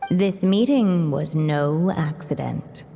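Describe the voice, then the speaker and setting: A woman voicing a gentle, unhurried storybook narrator in a video game, reading in a scripted storytelling style. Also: deep voice. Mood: calm, storytelling. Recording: long reverb, music bed underneath.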